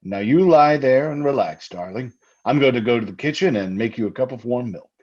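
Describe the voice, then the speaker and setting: A man playing a soothing, patronizing, insistent husband with quiet menace in a radio suspense drama. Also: medium pitch, expressive, brisk, close to an everyday voice. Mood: soothing, probing. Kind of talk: old-time radio suspense acting. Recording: slightly dull, lo-fi sound.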